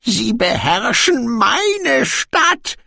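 A man voicing a proud, sly, sardonic dwarf king in an audiobook, with a slow, knowing delivery. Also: fairly high pitch, very animated, bright, forceful tone, no room sound, studio quality, voice pushed up, heavily acted. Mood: sly, mock surprise.

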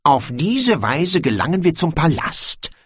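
A man voicing a proud, sly, sardonic dwarf king in an audiobook, with a slow, knowing delivery. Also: fairly high pitch, very animated, slightly rough, dry recording, clean studio sound, pitched above the performer's own voice, full character voice. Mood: brisk, dismissive.

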